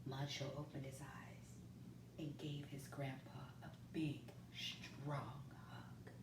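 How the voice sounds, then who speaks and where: A woman reading a children's picture book aloud, often in a hushed near-whisper. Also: a bass voice, flat delivery, slow. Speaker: storyteller. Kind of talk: children's story read-aloud. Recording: roomy, distant, faint background noise.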